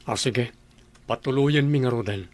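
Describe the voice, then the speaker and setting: An actor playing a calm, gentle ranch owner who gives instructions in a radio drama. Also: male voice, medium pitch, moderate pace, close to an everyday voice.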